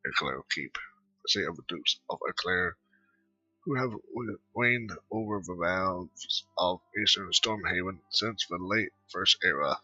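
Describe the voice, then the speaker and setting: A man giving casual, halting commentary while playing a game and reading lines aloud. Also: sits low, bright, forceful tone, breathy edge, no room sound, lo-fi sound, soft background music. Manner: mumbling, halting, reads dialogue aloud. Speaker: gameplay video creator.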